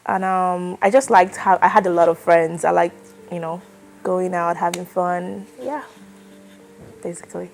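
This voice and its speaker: A woman in her twenties, raised in Nigeria, answers interview questions in a loose, conversational way. Her voice sits a little low, and faint music plays behind her. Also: unhurried, creaky. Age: 20s. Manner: relaxed, chatty, many fillers like "like" and "you know". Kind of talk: oral history interview.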